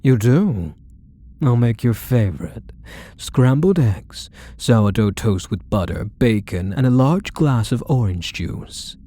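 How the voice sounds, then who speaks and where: A man's voice: medium pitch, expressive, takes it slowly, warm tone. A man playing a possessive, doting kidnapper husband in an intimate ASMR roleplay, cooing and coaxing with pet names. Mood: tender, cooing. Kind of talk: ASMR roleplay audio drama, intimate monologue to the listener.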